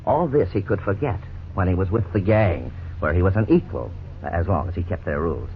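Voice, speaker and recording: A middle-aged man playing a lawyer who narrates and explains the case in a measured, formal way, in 1950s radio drama style. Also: sits low, animated. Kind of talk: scripted narration. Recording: scratchy 1950s radio broadcast, tinny, faint hiss.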